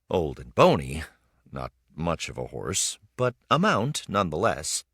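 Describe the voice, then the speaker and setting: Male narrator for children's read-aloud: lowish voice, very lively intonation, dramatic phrasing, dry close sound. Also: breathy edge, dry recording. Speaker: storybook reader.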